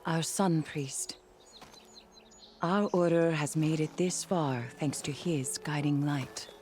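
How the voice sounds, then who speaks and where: Low-voiced, solemn, devout follower. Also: female voice, fairly even intonation, darkish tone. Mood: solemn, hopeful. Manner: solemn, measured, reverent phrasing. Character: devout female sect follower, solemn, proud and stubbornly loyal. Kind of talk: video game cutscene acting. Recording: prominent game music behind the voice.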